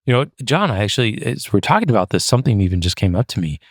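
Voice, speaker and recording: A man's voice: on the low side, big pitch swings, very fast, no room sound, studio quality. A man co-hosting a podcast, chatty and loose, with frequent fillers. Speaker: podcast co-host. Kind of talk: casual podcast chat.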